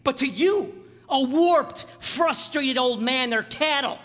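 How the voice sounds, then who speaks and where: A man playing an earnest, excitable young small-town dreamer in a radio play, with a stammering, folksy delivery. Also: very high voice, takes it slowly, heavily acted. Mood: indignant. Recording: very echoey hall stage.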